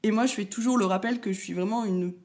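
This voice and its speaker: A young woman from Paris, a talkative guest in a casual interview who pauses often on fillers. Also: medium pitch, darkish tone. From France, Paris. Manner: chatty, talkative, many fillers and restarts. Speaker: guest, content creator.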